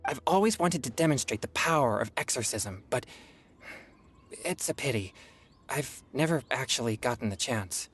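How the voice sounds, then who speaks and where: A woman voicing an earnest, reserved teenage boy exorcist in an anime game, speaking politely and with measured care. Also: very deep voice, lively intonation. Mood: disappointed.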